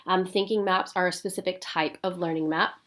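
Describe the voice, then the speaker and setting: A woman with a stuffy nose from a cold, giving a recorded professional development talk for teachers with clear, paced explanation and frequent "um"s. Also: fairly low pitch, steady tone, a little fast, a bit bright. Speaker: instructional coach.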